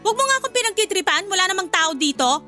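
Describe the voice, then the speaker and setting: Shrill, bossy Filipina household tyrant. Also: female voice, expressive, quick pace, sharp tone, heavily acted. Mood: irritated, threatening. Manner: scolding, haughty, threatening. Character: bossy, hot-tempered, spiteful woman of the household. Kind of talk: Filipino radio drama acting. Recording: background music under voice.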